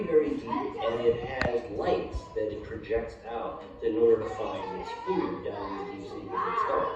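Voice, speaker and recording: A speaker explaining science to children in short, plain, emphatic statements with vivid wording. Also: medium pitch. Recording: distant echoey room, muffled, crowd noise.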